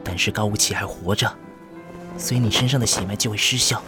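A man's voice: on the low side, brisk, over music, lightly acted. An actor playing a vengeful, scheming man in a Mandarin audio drama, controlled and menacing in delivery. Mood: hushed, urgent persuasion. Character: vengeful man, calm schemer turned gleeful killer.

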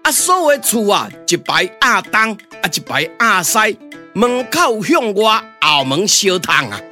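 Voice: mid-range voice, big pitch swings, unhurried, pushed and bright, soft background music. A performer with a Taiwanese accent voicing an emphatic, know-it-all pioneer soldier in a glove-puppet radio drama. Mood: lecturing.

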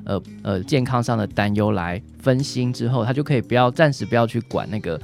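A man's voice: medium pitch, lively intonation, fairly quick, vocal fry, darkish tone, faint music behind. A man with a Taiwanese Mandarin accent, a doctor answering as an interview guest, explaining things in a hesitant way with frequent tag particles.

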